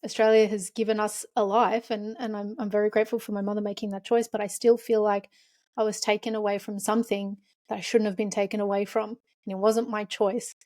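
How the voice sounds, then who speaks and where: A woman's voice: medium pitch, level tone, brisk, a little mellow, dry recording, studio quality. A woman from Lebanon hosting a podcast, talking openly and reflectively in casual chat. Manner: open, emotional, reflective. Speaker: podcast host.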